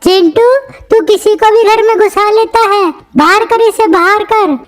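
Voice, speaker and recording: A woman voicing a bossy, easily scared young girl in a cartoon, shrill and complaining. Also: unhurried. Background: music.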